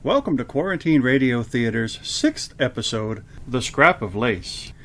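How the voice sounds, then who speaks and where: A man acting as a genial but creepy radio theater host, with a theatrical, smoothly polite delivery. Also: mid-range voice, animated, measured pace, a bit bright. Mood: theatrical, ominous. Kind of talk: old-time radio host introduction and acting.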